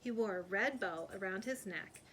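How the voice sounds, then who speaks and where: Bright-voiced woman reading a children's storybook. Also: mid-range voice, normal speed, slight background noise. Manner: animated, putting on character voices. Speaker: read-aloud storyteller, teacher. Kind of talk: expressive children's storybook read-aloud.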